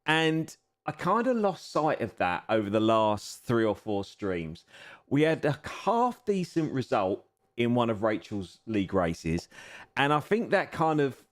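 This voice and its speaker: A man's voice: medium pitch, big pitch swings, measured pace. A man in his fifties chatting casually on a live stream while seated at a home racing simulator, self-deprecating and chuckling often. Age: 50s.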